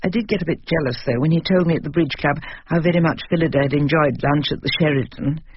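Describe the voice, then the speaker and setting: A man's voice: fairly high pitch, quick pace, slightly rough, dry recording, lo-fi sound. A man playing a gossipy, jealous middle-aged diarist in a confiding, catty radio drama monologue.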